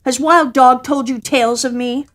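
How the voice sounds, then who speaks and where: A woman's voice: sits high, fairly even intonation, voice pushed up, lightly acted. A woman voicing a proud, cunning male wild cat in a storybook, declaiming in a haughty way. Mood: haughty, angry. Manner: haughty, declaiming, pleading with drawn-out words.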